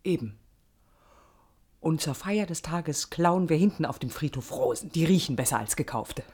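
An actress playing an impulsive, defiant young woman architect in a radio drama, expressive and teasing. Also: low voice, moderate pace, husky. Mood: playful, resolute. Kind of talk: 1980s German radio drama acting.

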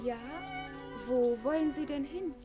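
A shy young country woman in a radio play, high in pitch, with music clearly behind her. She speaks simply and helpfully, a little hesitant. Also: measured pace. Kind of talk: German radio drama dialogue.

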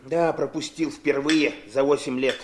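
A man's voice: medium pitch, fairly even intonation, unhurried, slight background noise, lightly acted. An actor playing an irritable, bullying, grasping middle-aged father in a radio drama, brusque and given to nagging repetition. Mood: gruff. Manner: brusque, nagging, repeats himself for emphasis. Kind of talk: theatrical radio drama acting.

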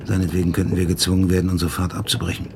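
An actor playing a wary, dutiful ship's lieutenant in a radio play, measured, formal and foreboding. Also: male voice, sits low, level tone, background noise. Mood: grim warning.

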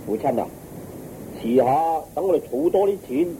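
An actor playing an earnest, dutiful young farmer son in a radio drama, speaking respectfully with a Hong Kong Cantonese accent. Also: male voice, on the high side, sing-song, moderate pace, warm tone. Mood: hopeful, placating. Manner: eager, respectful, placating. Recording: muffled radio recording, faint noise.

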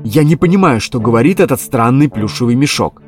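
Guy doing a huge snooty worm guard, slow and stretched out, voice goes up and down a lot. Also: medium pitch, lively intonation, a little mellow, faint music behind, natural voice. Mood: pompous. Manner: drawn-out, haughty, easily flustered.

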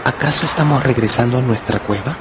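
An actor playing a naive, bewildered young wolf cub with plaintive wonder in a radio drama. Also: male voice, medium pitch, fast talker, background noise, close to an everyday voice. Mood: bewildered, forlorn.

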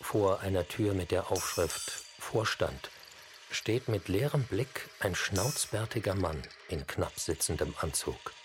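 A man reading scripted narration for a radio feature, with a slightly husky voice. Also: low-pitched, expressive, takes it slowly, noisy background.

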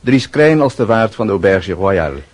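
A man's voice: on the low side, sing-song, normal speed. A man reading scripted announcements and cast credits as a radio drama announcer.

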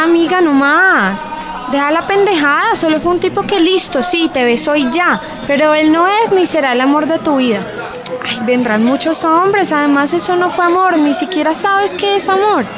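A woman's voice: high-pitched, expressive, normal speed. A woman playing a blunt, impatient schoolgirl best friend in a radio drama, no-nonsense and exasperated, with a Colombian accent. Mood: exasperated, consoling. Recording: music under the voice.

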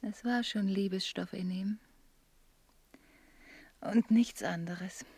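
A brooding mother lost in books and obsessed with truth, in a literary radio play. Her medium-pitched voice moves between hushed intensity and insistent declamation. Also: slow, slightly rough.

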